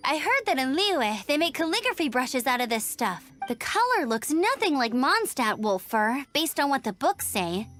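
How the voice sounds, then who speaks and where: An eager young female knight scout from an anime game. The voice is high and very lively, earnest and confident, with faint music underneath. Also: moderate pace, hardly any pauses. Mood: thoughtful.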